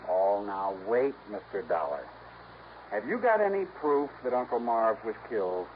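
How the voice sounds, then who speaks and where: An American actor playing a glib, defensive, high-spirited young twin in a 1950s radio drama. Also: male voice, sing-song, moderate pace. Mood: cocky, protesting. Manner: glib, protesting, insistent. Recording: dull old radio recording, faint noise.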